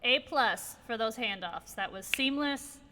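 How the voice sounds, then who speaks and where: A woman's voice: on the high side, unhurried, long reverb tail, background noise. A woman moderating a panel discussion, upbeat and full of praise. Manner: upbeat, effusive. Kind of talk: hosting an online panel.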